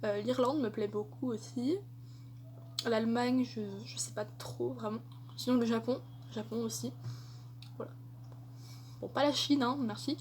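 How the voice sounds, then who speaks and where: A woman's voice: fairly high pitch, steady tone, unhurried, pauses often, darkish tone, a little hiss or hum. A young woman answering tag questions in a casual vlog, chatty and hesitant with many fillers. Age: young adult. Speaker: vlogger.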